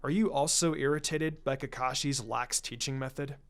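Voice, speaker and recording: A man's voice: mid-range voice, steady tone, normal speed, a bit bright, clean tone, voice pushed down, natural voice. A man voicing a brooding, impatient teenage ninja boy in a story reading, terse and serious. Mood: aloof, irritated. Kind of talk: storybook-style character reading.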